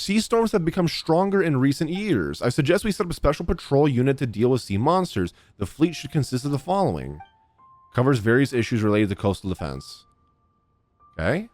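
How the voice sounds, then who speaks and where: A young man streaming a video game, reacting casually and reading aloud in an informal way. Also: medium pitch. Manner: informal, animated. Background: game music.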